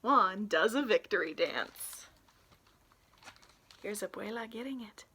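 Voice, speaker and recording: A young adult woman reading a picture book aloud expressively, in an animated way, for young listeners. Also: medium pitch, slow, background noise. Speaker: read-aloud storyteller, youth intern.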